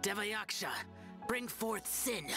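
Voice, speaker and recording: A woman voicing a stern, composed female mystic in a video game, formal and measured, with music underneath. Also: mid-range voice, pushed and bright. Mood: grave. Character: solemn, stern female mystic ritual master, composed and exacting.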